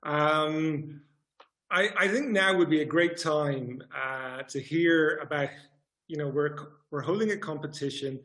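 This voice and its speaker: A man hosting a webinar, introducing speakers in a polite, halting, hesitant manner. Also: on the high side, fairly bright tone. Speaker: webinar host.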